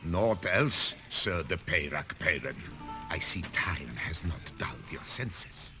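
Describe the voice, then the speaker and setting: A man playing a haughty, mocking gentleman in a fantasy video game, with formal, sardonic, archaic phrasing. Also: sits low, expressive, slow, full character voice. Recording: music playing behind.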